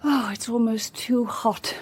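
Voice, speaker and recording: A woman's voice: on the high side, measured pace, breathy edge. An actress playing a cool, composed English country-house lady in a radio drama, polite and well-spoken. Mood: cool politeness.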